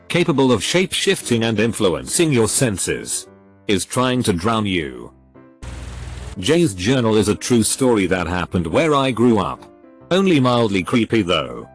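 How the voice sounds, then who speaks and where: A man with a fairly low voice reads stories aloud as scripted narration, his pitch rising and falling in a lively way. He spells abbreviations out letter by letter. Soft music plays faintly behind him. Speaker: narrator reading forum posts. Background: faint background music.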